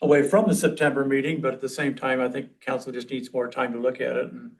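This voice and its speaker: A man's voice: mid-range voice, flat delivery, fast, vocal fry. A man speaking informally as he leads a committee meeting in a council room. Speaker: committee member leading the meeting.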